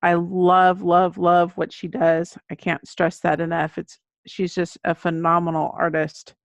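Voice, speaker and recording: A woman's voice: fairly low pitch, little pitch movement, unhurried, gravelly, no echo. An American woman speaking as an author guest in a podcast interview, explaining things in an earnest, conversational way. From United States. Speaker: guest, children's book author. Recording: muffled, dull lo-fi audio.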